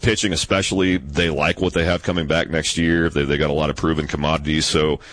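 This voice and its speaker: A man with a deep bass voice breaking down baseball on sports radio in a measured, analytical way, with some self-deprecating humor. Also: steady tone, a bit bright, no room sound.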